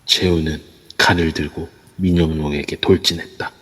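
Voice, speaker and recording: A man's voice: very deep voice, little pitch movement, normal speed, a bit bright. A man reading scripted narration for an audio drama. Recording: long reverb throughout.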